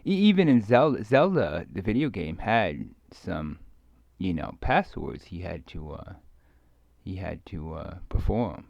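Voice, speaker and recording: Low, creaky-voiced man musing haltingly. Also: big pitch swings, darkish tone, dry recording. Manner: hesitant, halting, musing, trailing off. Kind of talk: rambling casual monologue, ASMR roleplay. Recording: dull lo-fi close dry recording.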